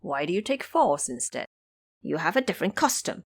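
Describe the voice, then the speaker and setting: A woman's voice: on the low side, fairly quick, vocal fry, exaggerated voice. A woman voicing a gullible young male demon imp, an eager, naive servant, in an audio drama. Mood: indignant. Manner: excitable, earnest, naive. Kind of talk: audio drama character acting.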